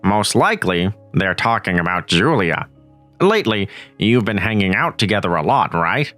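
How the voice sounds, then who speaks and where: A man's voice: fairly low pitch, sing-song, normal speed, a bit bright, faint music behind. An actor playing a cheerful, gossipy male school friend who coaches the hero. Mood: friendly. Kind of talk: manga voice-over acting.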